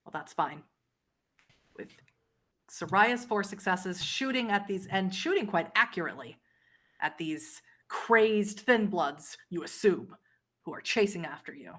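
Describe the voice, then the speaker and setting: A woman's voice: mid-range voice, animated, measured pace, fairly bright tone. A woman narrating a tabletop roleplay game as storyteller, addressing the players with dramatic second-person description.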